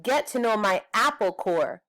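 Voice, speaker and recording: A teasing little boy in a storybook, played by a woman reader. He is contrary and cheeky, pitched high, always needling. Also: lively intonation, measured pace, fairly bright tone, poor audio.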